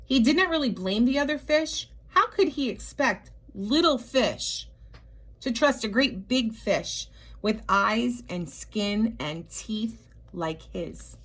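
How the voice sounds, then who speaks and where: A woman reading a picture book aloud to young children, with expressive drawn-out emphasis and chatty asides to her listeners. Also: fairly high pitch.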